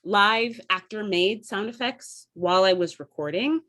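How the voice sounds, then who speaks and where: A woman's voice: mid-range voice, measured pace, fairly bright tone. A woman speaking in an enthusiastic, conversational way as a guest theatre artist in a casual panel discussion.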